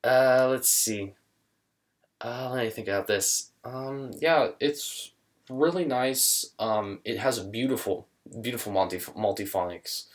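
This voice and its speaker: A man's voice: mid-range voice, a little slow. A young man talking casually to camera in a small room, thinking aloud with many fillers and restarts. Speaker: musician demonstrating an instrument.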